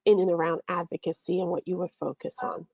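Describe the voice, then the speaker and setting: A woman in her forties moderating a youth panel in a gentle, measured, supportive way, on a video call. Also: fairly low pitch, flat delivery, dry recording. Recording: muffled lo-fi online audio.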